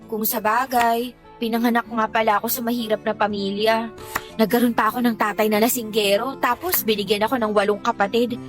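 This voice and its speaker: A woman's voice: on the high side, level tone, brisk, lightly acted. An actress playing a poor, long-suffering young working woman in a Filipino radio drama, conversational, complaining and self-deprecating, with a Filipino accent. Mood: exasperated, wry. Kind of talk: Tagalog radio drama acting. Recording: music bed behind the dialogue.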